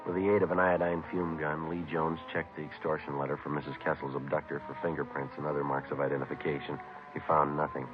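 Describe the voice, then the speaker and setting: Terse American detective narrator, bottom-register deadpan delivery, old radio crime drama sound. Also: male voice, a bass voice. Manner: clipped, deadpan, matter-of-fact. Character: terse, businesslike male police detective narrating his case. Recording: tinny vintage radio recording, music.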